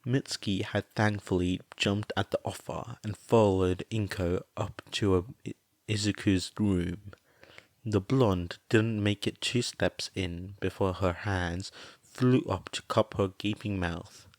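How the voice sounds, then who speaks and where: A man narrates a fan story in a deep, low voice. He reads slowly and evenly. The recording is dry with no room sound. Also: no echo. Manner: slow steady reading. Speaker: podfic reader. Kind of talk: fanfiction audiobook narration.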